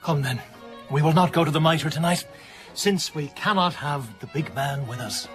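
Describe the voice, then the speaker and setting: An actor playing a sociable, needling literary gentleman in a period radio drama, with lively, teasing challenges. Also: on the high side, moderate pace, faint music behind, close to an everyday voice.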